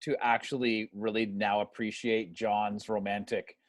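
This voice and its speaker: A man's voice: fairly low pitch, little pitch movement, a little slow. A man chatting as a podcast panelist, trading casual banter about movies.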